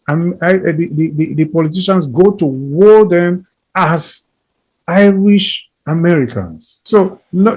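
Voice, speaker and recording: A man's voice: a little high, darkish tone, rough recording. A man as an interview guest, speaking earnestly and emphatically, often repeating phrases and building lists. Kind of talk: earnest conversational advocacy.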